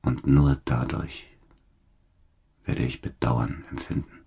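An actor playing a hardened, embittered career criminal who makes grave, defiant declarations in a radio drama monologue. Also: male voice, a bass voice, flat delivery, slow, mellow, exaggerated voice. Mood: somber. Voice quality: gravelly, husky.

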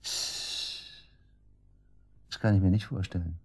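A man's voice: deep voice, fairly even intonation, slow, breathy edge, full character voice. An actor playing a brash, sarcastic murder suspect under police questioning in a radio drama, crude and defiant in his slangy talk. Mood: defiant, disbelieving. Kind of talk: naturalistic German radio drama acting.

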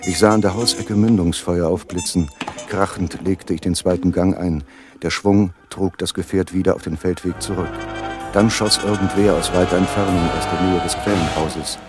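An actor playing the armed first-person narrator of a radio thriller, recounting the action over music. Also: male voice, deep voice, steady tone, moderate pace, lightly acted. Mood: urgent.

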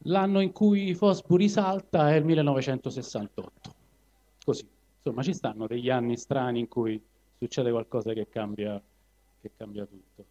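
A man with a Roman Italian accent talking colloquially to a live audience at a poetry reading, halting and digressing as he goes. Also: mid-range voice, warm tone. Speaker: poetry reader. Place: live evening event in a cinema venue. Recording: dull lo-fi live recording.

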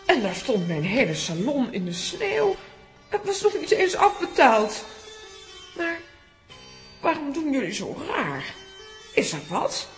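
Fretful aunt, high, swooping voice. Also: female voice, huge pitch swings, slow pace, pauses often, faint music behind, full character voice. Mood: indignant. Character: excitable, fretful adult aunt on an adventure. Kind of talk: children's audio drama acting.

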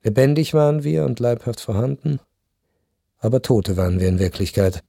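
Mellow, melancholy male narrator, strings behind. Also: mid-range voice, animated, vocal fry, no room sound, clean studio sound. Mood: mournful. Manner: measured, reflective. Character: melancholy first-person narrator, resigned Viennese gentleman. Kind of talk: literary radio drama narration.

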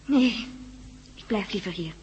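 An actress playing a newly blinded nurse in a 1960s radio drama, emotional, plaintive and dutiful. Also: female voice, medium pitch, expressive, a little slow, darkish tone, slightly rough. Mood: resigned, tender. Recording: 1969 radio recording, faint noise.

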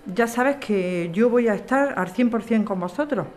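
A woman's voice: a little high, measured pace, a little mellow, a little hiss or hum, close to an everyday voice. A woman acting an earnest, apologetic lawyer in an audio drama. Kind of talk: audio fiction podcast acting.

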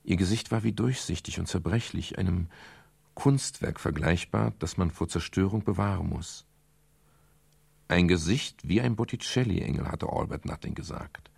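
An actor playing a brooding private detective who narrates his case in a measured, melancholy, literary way in a radio crime drama, with a gravelly, husky voice. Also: low voice, darkish tone, no room sound, full character voice. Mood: pensive, melancholy. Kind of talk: 1970s German radio crime drama, first-person narration.

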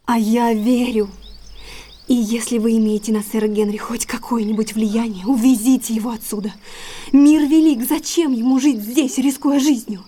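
An actress playing an anxious, secretive young gentlewoman in an audio drama, guarded and earnest. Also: fairly high pitch, steady tone, moderate pace, natural voice. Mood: guarded, urgent.

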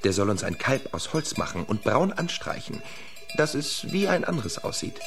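An actor playing a sly, poor peasant who schemes and insists, in animated fairy tale radio play dialogue. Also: medium pitch, normal speed, music in the background. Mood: scheming.

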